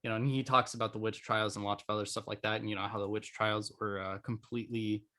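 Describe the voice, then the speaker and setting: Podcast host, male, lowish voice, casual and informal, loosely phrased conversational delivery. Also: level tone, fast talker, pushed and bright.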